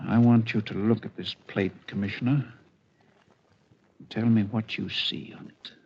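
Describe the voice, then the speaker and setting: A man playing a polite, earnest amateur photographer in 1940s radio mystery style, courteous and deliberate. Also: on the low side, steady tone, vocal fry, darkish tone, breathy edge, full character voice. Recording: muffled 1940s radio recording, faint noise.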